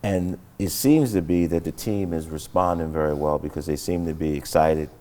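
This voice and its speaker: Very deep, dark-voiced male host. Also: moderate pace. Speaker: show host. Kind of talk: interview and show segue.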